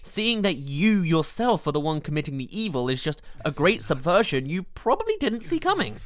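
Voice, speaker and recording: A narrator presenting a scripted countdown review with emphatic, expressive delivery. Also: medium pitch, normal speed, no echo, studio quality. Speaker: video game reviewer, host.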